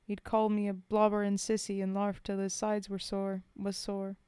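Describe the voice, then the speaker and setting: A woman reading verse in the voice of a rough, common male soldier from the First World War who mourns a fallen comrade, speaking in folksy working-class dialect. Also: mid-range voice, level tone, measured pace, mellow, no echo, close to an everyday voice. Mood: wistful. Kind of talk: poetry reading.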